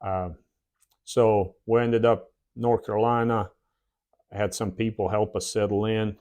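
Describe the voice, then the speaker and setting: A man's voice: fairly low pitch, flat delivery, a little mellow. Man with a Slavic accent and a Southern drawl. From former Yugoslavia. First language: a South Slavic language. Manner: plain, unhurried, frequent "uh" pauses. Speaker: channel host. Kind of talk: casual personal storytelling. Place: home workshop shed.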